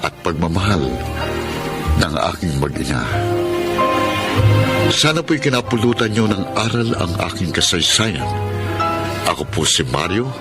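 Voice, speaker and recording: Low-voiced contrite father, earnest and patient, Filipino radio drama over music. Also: big pitch swings, measured pace, pauses often. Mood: reflective, earnest. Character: remorseful father pleading for forgiveness, patient and earnest.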